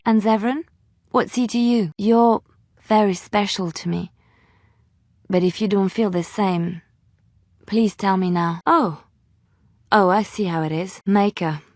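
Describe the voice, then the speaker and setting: Actress doing tender-hearted young fantasy game woman, earnest and easily hurt, hesitant, mid pitch, dry close sound. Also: no echo, close to an everyday voice. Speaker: voice actor in a fantasy role-playing game. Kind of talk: dramatic video game dialogue.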